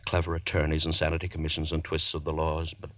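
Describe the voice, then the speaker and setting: An actor playing a tormented, desperate murderer and lecturer in intense, melodramatic 1940s radio horror style, with dramatic pauses. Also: male voice, rumbling low, monotone, fairly bright tone, no room sound. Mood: haunted, resolute. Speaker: narrator and lead actor.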